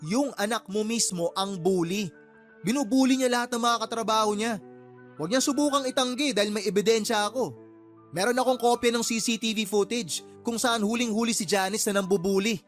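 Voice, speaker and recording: A man's voice: sits high, fairly quick, soft background music, close to an everyday voice. A man playing a fed-up, exasperated uncle and boss in a Tagalog radio drama, scolding his sister with heated, sarcastic questions. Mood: angry, fed up.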